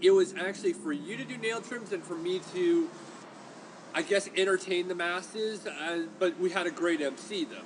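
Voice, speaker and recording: Guy hosting a podcast, loves the spotlight, talks right to the folks listening, bit high voice, hissy recording. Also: normal speed. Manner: showy, self-styled entertainer, talks to listeners. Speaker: podcast host. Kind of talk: casual chat. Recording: noisy home recording.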